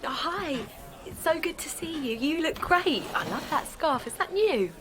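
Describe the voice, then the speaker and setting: A woman's voice: high-pitched, very animated, measured pace, fairly bright tone, full character voice. An actress playing an eager, earnest English woman in a naturalistic audio drama. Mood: friendly. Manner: friendly, earnest. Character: eager, friendly young mother and campaigner.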